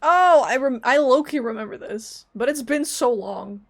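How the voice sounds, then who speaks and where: A woman's voice: sits high, lively intonation, normal speed. A woman streaming a video game with casual, blunt, joking commentary. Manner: informal, blunt, reactive, joking.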